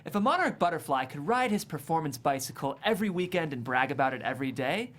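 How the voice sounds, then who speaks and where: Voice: medium pitch, very animated, fast, a bit bright. A narrator reading stage directions from a script at a comedy table read.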